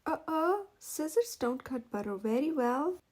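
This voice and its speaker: Woman doing an eager, high-pitched storybook iguana. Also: big pitch swings, measured pace. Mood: eager, overconfident. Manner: eager, overconfident. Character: keen, clueless, impulsive iguana, storybook helper.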